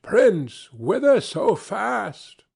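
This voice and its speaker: A man acts out a small, ugly storybook dwarf with a high, lifted voice and a husky edge. The delivery is deliberate and drawn out, swooping as it commands and instructs like a sly elf of fairy tales. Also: very animated, darkish tone, heavily acted. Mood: challenging. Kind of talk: fairy-tale storybook character voice.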